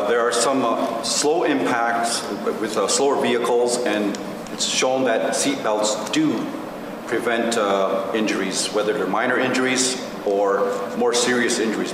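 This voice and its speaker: A man giving his opinion at a community meeting, with deliberate, halting, measured phrasing. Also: mid-range voice. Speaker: meeting participant. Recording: distant mic in noisy echoey hall.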